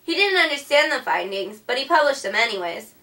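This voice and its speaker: Bright-voiced woman reading a scripted story. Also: high-pitched, sing-song, fairly quick. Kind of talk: scripted first-person history narration. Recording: distant mic with faint background music.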